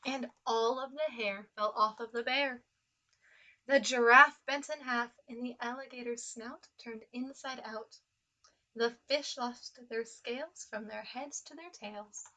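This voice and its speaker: A woman reading a children's story aloud with expressive narration. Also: fairly high pitch, a little slow, rough recording. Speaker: children's storybook reader, teacher.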